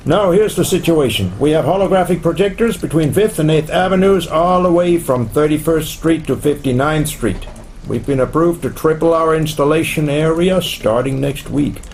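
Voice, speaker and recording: An actor playing a pompous, domineering megacorporation chief executive in a radio play. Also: male voice, on the high side, a little hiss or hum. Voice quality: gravelly, creaky. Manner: pompous, self-assured.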